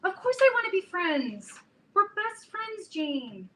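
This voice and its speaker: A woman's voice: extremely high pitch, very animated, takes it slowly, fairly bright tone, exaggerated voice. A woman playing a boy-crazy, melodramatic teenage girl who rambles and stumbles, in a staged reading of a comedy. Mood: placating. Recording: echoey room, distant mic, background noise.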